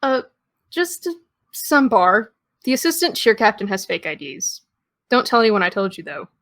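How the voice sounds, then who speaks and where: A woman's voice: mid-range voice, sing-song, moderate pace, fairly bright tone, dry recording. An actress playing a guarded, hungover teenage girl, dry, hesitant and defensive, in a naturalistic audio drama. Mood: tired, guarded.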